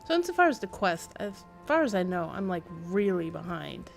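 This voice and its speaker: A woman's voice: on the low side, big pitch swings, normal speed, creaky, soft background music. A woman chatting casually and thinking aloud as she livestreams a video game. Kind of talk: casual game stream commentary.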